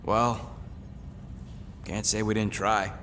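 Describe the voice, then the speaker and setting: An actor voicing a small, cynical, wisecracking raccoon-like mercenary in a space video game. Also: male voice, lowish voice, little pitch movement, slow, a bit bright, a little hiss or hum. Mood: sardonic, grim. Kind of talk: cinematic video game acting.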